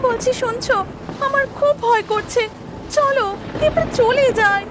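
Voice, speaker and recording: A woman's voice: extremely high pitch, unhurried, noisy background. A woman voicing a pleading, childlike village female character in a horror cartoon. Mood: anxious. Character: frightened, pleading village fisherman's wife and his sick little daughter. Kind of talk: Bengali horror cartoon voice acting. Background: music.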